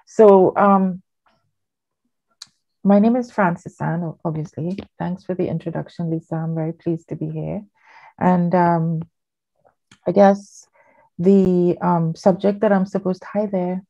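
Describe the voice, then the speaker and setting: A woman's voice: on the low side, creaky. A woman from Trinidad, older, giving a rambling, chatty workshop talk with many fillers over a home video call. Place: living room at home. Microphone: laptop webcam on a video call. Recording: dull lo-fi video call audio.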